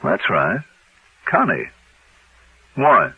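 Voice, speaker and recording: A man's voice: low voice, huge pitch swings, extremely slow, husky, no echo, heavily acted. An actor playing a shrewd American insurance investigator who narrates his case in clipped hard-boiled style, in an old radio drama. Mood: guarded questioning. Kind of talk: 1950s radio detective drama acting and narration. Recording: muffled old radio recording, loud music.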